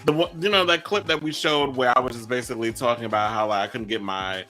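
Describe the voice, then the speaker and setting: A man from New York hosting a casual interview show, chatty, playful and animated. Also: medium pitch, quick pace, faint music behind. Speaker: interview host.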